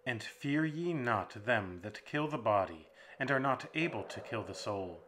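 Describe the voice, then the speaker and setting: A man's voice: mid-range voice, unhurried. A man voicing a battered male captive who faces his captor with firm defiance in a dramatic audiobook reading. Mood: solemn. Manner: desperate, then firmly defiant. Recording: steady background hiss.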